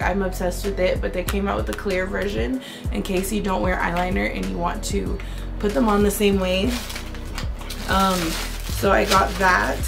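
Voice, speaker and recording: A young woman talks straight to camera from a bright bedroom, her voice hoarse and raspy from a sore throat, with plenty of gravelly fry. She chats loosely in a filler-heavy haul-video manner over a clear music bed. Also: mid-range voice. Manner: chatty, many "um" and "like" fillers. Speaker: beauty vlogger.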